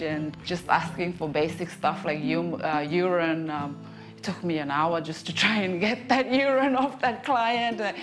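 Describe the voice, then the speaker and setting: Soft-spoken woman, lowish voice. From Torres Strait Islands. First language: Torres Strait Islander language. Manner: calm, soft-spoken, many "um" and "like" fillers. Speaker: health worker. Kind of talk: clinic consultation and interview. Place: small clinic consulting room, indoors.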